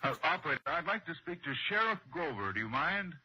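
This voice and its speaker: An anxious husband working nights as a radio operator in a vintage suspense radio drama. His pitch moves around in a lively way as he shifts between dialogue and tense narration. The sound is dull, like an old broadcast. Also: quick pace, slightly rough. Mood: anxious, impatient. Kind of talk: 1960s radio suspense drama acting, with first-person narration.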